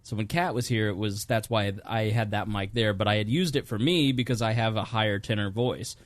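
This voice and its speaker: A man's voice: fairly low pitch, a little fast, no echo. A man hosting a podcast in a home studio, talking casually on a studio mic and rambling through technical details with a self-deprecating tone. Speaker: podcast host. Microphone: studio dynamic microphone.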